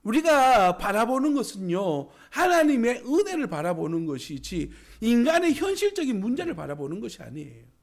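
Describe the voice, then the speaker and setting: Hoarse, gravelly preaching man. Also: very high-pitched, very animated, moderate pace, few pauses. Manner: emphatic preaching with rising exclamations. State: hoarse from a cold. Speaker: pastor. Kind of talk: sermon. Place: small church.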